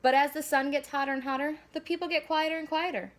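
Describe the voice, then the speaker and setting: A woman reading a children's storybook aloud in an animated, deliberate storyteller delivery. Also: high voice. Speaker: school staff member reading aloud.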